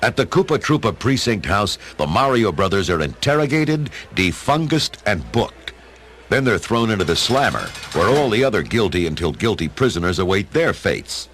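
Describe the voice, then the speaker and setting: A man's voice: fairly low pitch, very animated, normal speed, a bit bright, faint music behind. A man narrating a radio play as a dramatic storyteller, with a folksy, wry manner.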